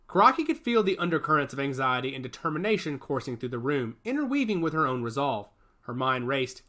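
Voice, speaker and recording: A man's voice: a little high, a bit bright. A man narrating a story in a dramatic storytelling cadence.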